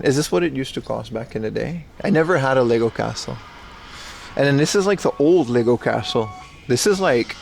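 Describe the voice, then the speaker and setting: A young man livestreaming as he browses a secondhand shop, chatting excitedly and thanking viewers, recorded on a handheld phone. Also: mid-range voice, vocal fry. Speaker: streamer. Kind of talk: casual IRL livestream chat.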